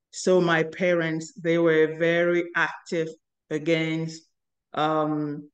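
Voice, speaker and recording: A woman in her fifties from Cameroon, telling her life story as an interview guest in an earnest, halting way with many pauses. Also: low-pitched. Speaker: guest, inventor and company founder.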